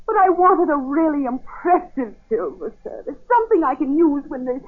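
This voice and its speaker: A woman's voice: high voice, big pitch swings, moderate pace. A woman playing a haughty, indignant grand lady with an affected, complaining manner in a 1940s radio drama. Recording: muffled vintage 1940s radio broadcast.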